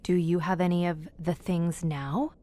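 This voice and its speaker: An actress playing a composed, inquisitive questioner with a formal, literate manner in an audio horror drama. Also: female voice, lowish voice, fairly even intonation, unhurried, warm tone, dry recording, close to an everyday voice. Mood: probing. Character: composed, inquisitive woman questioning a monster hunter.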